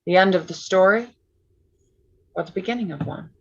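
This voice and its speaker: Lowish, solemn visionary storyteller played by a woman. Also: moderate pace, gravelly, husky, lo-fi sound. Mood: haunted wonder. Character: Mary Shelley, intense visionary young female storyteller. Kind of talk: dramatic staged reading.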